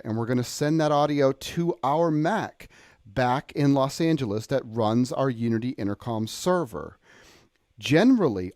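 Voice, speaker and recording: Man giving an informal, dry-sounding software tutorial. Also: medium pitch, no room sound. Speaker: presenter demonstrating audio software.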